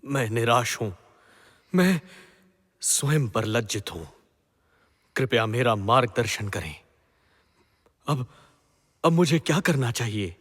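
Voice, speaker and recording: A man's voice: mid-range voice, lively intonation, fairly bright tone. An actor playing a proud, hot-tempered king of the gods in a mythological audio drama, with theatrical delivery. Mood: humbled. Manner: haughty, theatrical, slow declaiming. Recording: long hall-like reverb.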